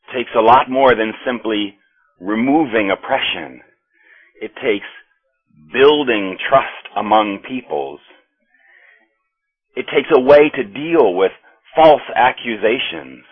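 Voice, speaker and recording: Slow, husky American preacher. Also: male voice, mid-range voice. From United States. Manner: slow, measured preaching with pauses. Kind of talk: sermon. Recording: tinny phone-like audio.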